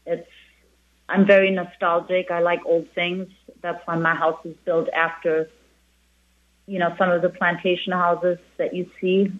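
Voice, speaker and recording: A woman's voice: on the low side, flat delivery, measured pace. A middle-aged woman from Germany, a German native speaker and restaurant owner, chatting as an interview guest with many restarts and "you know"s. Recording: phone-like narrow band.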